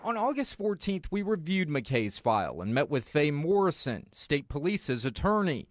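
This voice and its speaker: A man's voice: a little high, no room sound, clean studio sound. A man with an American accent reading a scripted investigative news report as a TV reporter.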